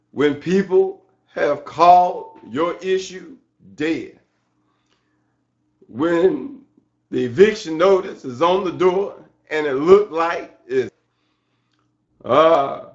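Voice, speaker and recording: A man preaching an excited church sermon in a halting way, repeating phrases and punctuating them with amen and hallelujah. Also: on the high side. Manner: excited, fervent. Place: church service. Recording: dull, lo-fi sermon recording.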